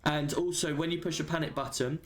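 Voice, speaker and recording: A man's voice: a little high, steady tone, a little fast. A man giving an informal, matter-of-fact walkthrough of a game system, explaining it step by step as a tutorial. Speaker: roleplay server member giving a tutorial.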